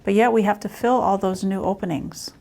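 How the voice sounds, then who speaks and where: A woman's voice: mid-range voice, fairly even intonation, a little fast, warm tone. A woman giving unscripted interview answers as a local housing official.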